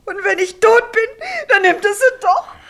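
High-voiced, plaintive ailing wife. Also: female voice, measured pace, a bit bright, full character voice. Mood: tearful, agitated. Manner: plaintive, often dropping to weak whispers. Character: sickly, fearful, jealous wife in a village. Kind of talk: German radio play acting with dialect.

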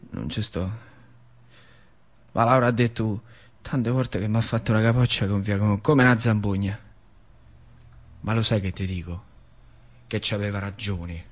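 A man's voice: on the low side, fairly even intonation, unhurried, pauses often. A man playing a working-class factory worker in an emphatic theatrical monologue, with a central Italian Lazio accent. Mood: subdued, rueful. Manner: emphatic, repetitive, swinging between outburst and muttering. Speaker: stage actor.

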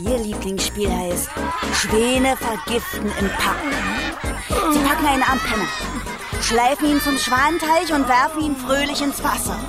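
A woman's voice: high voice, measured pace, loud music behind the voice. A woman acting an indignant, scandalized townswoman who complains about children in a German radio play. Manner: indignant, exclaiming.

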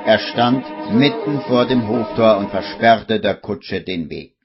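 A man's voice: on the low side, measured pace. A man narrating a 1950s radio play as a suspenseful, theatrical storyteller. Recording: muffled vintage radio recording, music behind.

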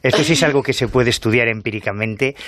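A man's voice: medium pitch, fast talker, a loud crowd. A man arguing ironically against a myth on a radio panel. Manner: skeptical, ironic. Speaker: radio contributor.

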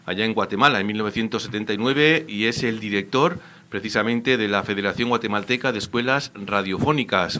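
A man's voice: fairly low pitch, bright, forceful tone. A man hosting a radio interview, speaking with frequent hesitations and fillers. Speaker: radio show host.

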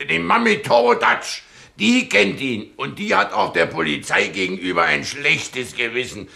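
An actor playing an old grandpa gangster, crafty and agreeable, with a gravelly voice in a satirical radio play. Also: male voice, fairly high pitch, sing-song, normal speed, pushed and bright, exaggerated voice. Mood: gleeful.

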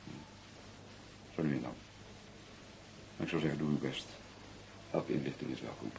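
An actor playing a courteous hospital staff man in a radio play, formal, measured and matter-of-fact. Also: extremely low pitch, darkish tone, full character voice. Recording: echoey room, clear background noise.

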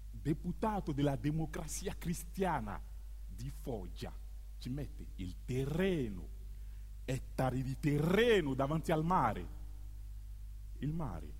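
A man performing as an ironic storyteller, delivering a theatrical monologue with emphatic, declamatory phrasing and dramatic pauses. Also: fairly high pitch, breathy edge, exaggerated voice. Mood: ironic, solemn. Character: male storyteller, ironic, emphatic, recounting local history. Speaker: stage narrator. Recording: long reverberant hall.

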